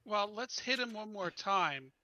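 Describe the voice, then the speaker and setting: A man's voice: sits high, animated, unhurried, sharp tone, a little hiss or hum. A man chatting casually as a player in a tabletop roleplaying game.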